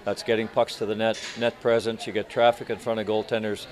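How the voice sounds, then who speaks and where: An older man in his sixties, a hockey coach answering reporters after a game, speaking in a measured, halting way with many restarts. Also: lowish voice, flat delivery, a little mellow, slight background noise. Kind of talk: postgame press interview. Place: arena media room in front of sponsor backdrop.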